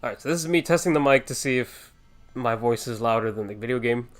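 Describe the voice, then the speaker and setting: A man's voice: mid-range voice, quick pace. Sick man chatting on a live stream. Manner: rambling, self-conscious, chatty with restarts. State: sick, with a lowered voice. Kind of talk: casual live-stream chat. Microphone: desk USB microphone, with headphones on.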